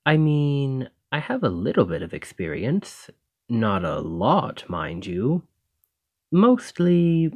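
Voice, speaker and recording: A man's voice: mid-range voice, darkish tone, no echo. A man playing a chatty, cheeky stand-in carriage driver in an audio roleplay, talking casually and awkwardly to the listener with sly insinuation. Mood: awkward, friendly. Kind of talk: ASMR roleplay acting, addressing the listener.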